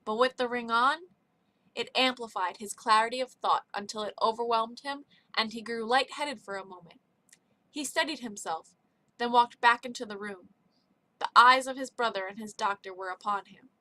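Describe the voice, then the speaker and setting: A woman's voice: on the high side, sharp tone, no echo, poor audio. A woman reading a dramatic story aloud, giving each character an emotive voice. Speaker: audiobook reader.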